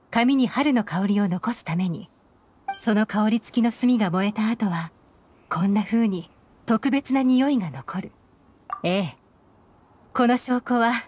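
An actress voicing a cool, shrewd young woman who runs an intelligence network in a video game, composed, deliberate and knowing. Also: a little high, a little mellow, slight background noise, natural voice. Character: cool, shrewd female intelligence operative and boss. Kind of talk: Japanese video game voice acting. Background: background game music.

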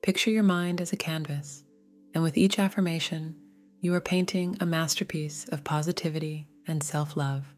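A woman narrating a scripted guided meditation in a gentle, soothing, measured way. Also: sits low, steady tone, faint music behind.

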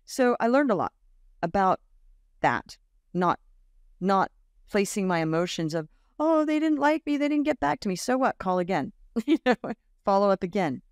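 A woman in her fifties, raised in Australia, talks as a podcast guest in a very dry home room, close to a large studio mic. She tells stories with animation, acting out the voices of the people in them. Her pitch sits in the middle for a woman. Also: moderate pace, no room sound. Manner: animated storyteller, acts out quoted voices, laughs often. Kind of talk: casual interview storytelling. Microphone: large studio mic on boom arm, video call.

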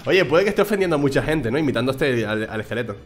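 Venezuelan-born guy streaming a game on a headset, neutral spanish accent, jokey and lively, music in the back. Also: mid-range voice, rapid-fire. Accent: neutral Spanish, faint Venezuelan. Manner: joking, reads game text aloud. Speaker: gaming streamer. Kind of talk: casual gameplay commentary.

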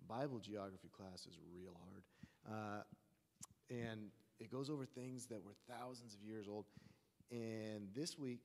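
Long hall reverb surrounds a young man preaching informally into a handheld microphone. His voice is medium in pitch. He talks in short, emphatic sentences and repeats them for weight. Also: a little mellow. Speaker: preacher, seminary student. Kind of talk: informal sermon to a congregation. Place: church stage, indoors.

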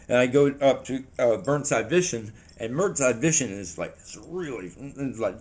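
A man, telling a story to the camera in a rambling, halting way and sounding a little shaky, recorded in a small room on a camera in front of him. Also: medium pitch. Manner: rambling, halting, animated hand gestures. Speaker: vlogger.

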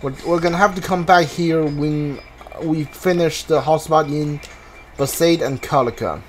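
A man giving casual video game commentary, thinking aloud haltingly and repeating his words as he plays. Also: on the high side, slight background noise. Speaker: gamer, playthrough host. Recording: game music behind the voice. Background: video game music.

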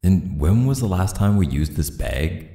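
Very deep-voiced, gravelly young boyfriend played by a man. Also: very animated, a little slow, mellow, dry recording, full character voice. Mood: musing, muttering. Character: easygoing, teasing but tender young boyfriend. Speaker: roleplay audio performer. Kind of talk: intimate casual boyfriend roleplay, ASMR.

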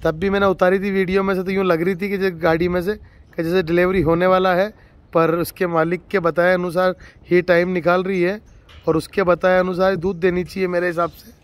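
A man's voice: sits high, little pitch movement, fairly quick, smooth. A man from Rajasthan, a dairy farmer, shows a cow for sale in a casual spoken walkthrough, heaping on enthusiastic, repetitive praise from off camera in an outdoor farmyard. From Rajasthan, India. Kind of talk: casual spoken livestock showcase.

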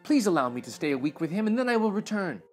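Tender-hearted young fairytale heroine, read by a man, soft and pleading, with a husky edge. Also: high voice, sing-song, fast talker, soft background music. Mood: earnest.

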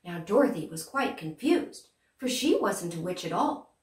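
Gracious good witch, acted by a young woman, children's storybook telling, animated, deliberate, echoey small room, distant mic. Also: medium pitch, natural voice. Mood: gentle storytelling. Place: small plain room indoors. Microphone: far camera. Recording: roomy, distant microphone.

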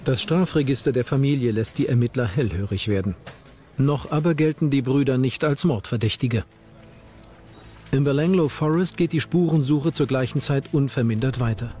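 A man's voice: mid-range voice, moderate pace, warm tone. A man reading scripted narration for a documentary.

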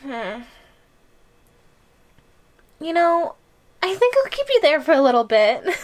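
A woman's voice: sits high, very animated, slow, full character voice. A woman playing a playful, possessive giantess girlfriend in an audio roleplay, gleefully menacing, teasing and condescending. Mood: playful menace. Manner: teasing, giggly, smug and condescending. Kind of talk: audio roleplay ASMR drama.

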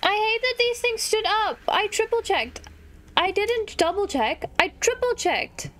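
A woman commentating a horror game, sniffly from allergies and shrieking excitedly at enemies. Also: very high voice, measured pace. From South Africa. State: sniffly, stuffy nose from allergies. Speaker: gaming YouTuber. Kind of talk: let's play commentary.

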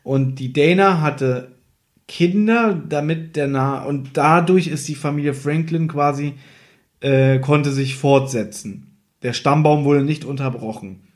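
Guy on a podcast, voice a bit high, just chatting and picking a story apart. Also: a little slow. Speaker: podcast co-host. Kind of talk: casual chat, retelling and critiquing a story.

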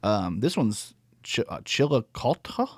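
A man's voice: mid-range voice, sing-song, slow pace, no echo. A man in his thirties hosting a podcast, chatting casually and joking at his own expense on a studio mic. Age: 30s. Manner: joking, rambling, self-deprecating. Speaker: podcast host. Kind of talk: casual chat. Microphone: studio mic with headphones.

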